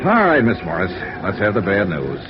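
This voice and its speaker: An actor playing a wry, flirtatious hardboiled insurance investigator with clipped delivery in a 1950s radio noir drama. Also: male voice, fairly low pitch, wildly swooping pitch, moderate pace, lo-fi sound. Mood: playfully exasperated. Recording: band-limited vintage radio recording with music behind.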